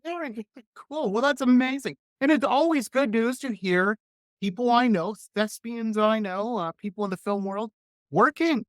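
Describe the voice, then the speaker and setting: A middle-aged man hosting a radio interview show, chatting with an enthusiastic, animated manner. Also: extremely high pitch, moderate pace, no room sound, studio quality. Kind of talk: casual interview chat.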